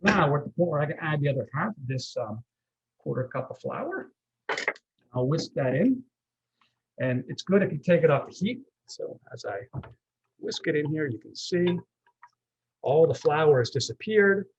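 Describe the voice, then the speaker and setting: A man's voice: a little high, expressive, moderate pace, gravelly, pauses often, slightly rough, lo-fi sound. A man giving a live cooking demonstration as guest chef, explaining step by step in a folksy, reassuring, conversational way.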